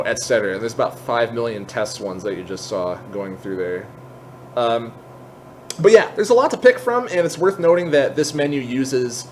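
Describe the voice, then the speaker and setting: Man narrating a tutorial over background noise. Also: mid-range voice. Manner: explanatory, conversational asides. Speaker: tutorial host. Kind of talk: informal software tutorial narration.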